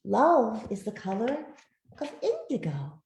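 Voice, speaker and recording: Slow, mellow woman reading to children. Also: a little high, slight background noise. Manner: gentle, expressive, with soft asides to listeners. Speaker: author reading her own picture book. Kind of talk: read-aloud storytelling for children. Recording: roomy, echoey sound.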